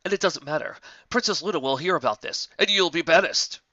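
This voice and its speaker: A self-important stallion translator , acted by a man, pompous and easily offended. His voice is bright and slightly raised, in a very dry storybook reading. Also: on the high side, brisk, no echo, highs cut off, pitched above the performer's own voice. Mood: pompous, threatening. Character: self-important, indignant male pony translator.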